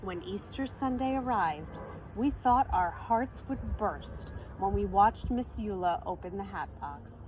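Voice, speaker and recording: Slow-paced woman reading a picture book aloud. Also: mid-range voice, lively intonation, a little mellow, poor audio. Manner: teacherly, explains words and asks listeners questions. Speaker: read-aloud storyteller. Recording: faint background noise.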